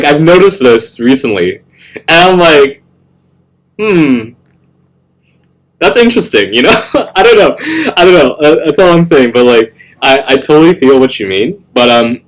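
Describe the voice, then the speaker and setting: A young man hosting a casual chat, animated and laughing often, full of "bro" and "like", with a deep voice. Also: low-quality recording. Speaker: host.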